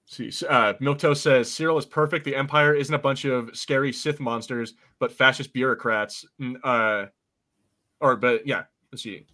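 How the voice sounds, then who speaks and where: A relaxed man co-hosting a podcast at an ordinary middle pitch. He chats loosely, laughs a lot and now and then slips into quoted voices. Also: normal speed. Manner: laid-back, laughing, puts on quoted voices. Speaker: podcast co-host. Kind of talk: casual chat reading live chat comments.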